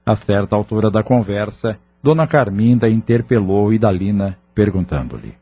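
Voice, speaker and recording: Male narrator for a radio novela, Brazilian, solemn and measured, deepish slightly rough voice. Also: expressive. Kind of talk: scripted audio drama narration. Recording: dull lo-fi audio drama recording.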